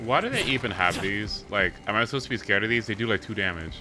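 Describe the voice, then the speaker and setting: A man giving casual, excitable gaming livestream commentary, exclaiming and swearing freely as he plays. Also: on the low side, quick pace, music in the background. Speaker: live streamer playing a video game.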